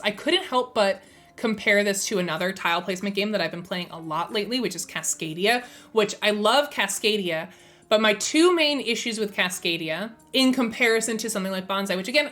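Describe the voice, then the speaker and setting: A woman's voice: medium pitch, fairly bright tone. A young woman hosting a casual live stream, chatty and enthusiastic, her voice a little strained from being sick. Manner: chatty, enthusiastic, thinks aloud with fillers. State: slightly strained, losing her voice. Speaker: streamer and host.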